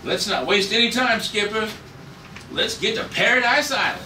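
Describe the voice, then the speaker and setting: A man's voice: high voice, animated, normal speed, sharp tone, heavily acted. A man reading an eager, outspoken space ranger sidekick at a script table read, with a brash, informal manner. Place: room at a group table read. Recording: echoey noisy room, far from mic.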